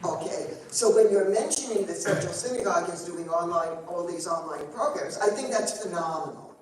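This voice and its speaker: A woman with a somewhat low voice asks a long, winding question from the audience, piling up examples before she gets to her point. She is picked up from far off in a very echoey room. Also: expressive, normal speed, a little mellow. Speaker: congregant asking a question. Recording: echoey room, mic far off, faint noise.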